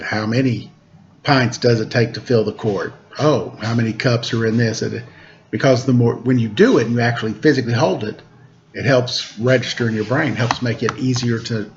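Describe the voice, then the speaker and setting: A man's voice: medium pitch, lo-fi sound. A man reading a storybook aloud like a teacher, with casual asides.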